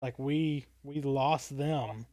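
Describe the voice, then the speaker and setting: A man's voice: mid-range voice, fairly even intonation, very slow, a loud crowd. A man co-hosting a podcast, talking casually in an earnest and thoughtful manner. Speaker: podcast co-host.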